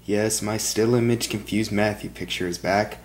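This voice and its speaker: A young man reading a film review in a flat, monotone manner, recorded on a webcam in a small bedroom. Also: on the low side, moderate pace. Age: young adult. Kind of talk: read-out film review monologue. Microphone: webcam at desk.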